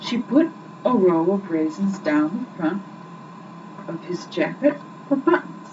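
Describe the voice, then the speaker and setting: A young woman reading children's stories aloud in an expressive, rhythmic storytelling style, on an old muffled home recording. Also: fairly low pitch, measured pace. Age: young adult. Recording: muffled, noisy 1980s home recording, distant mic.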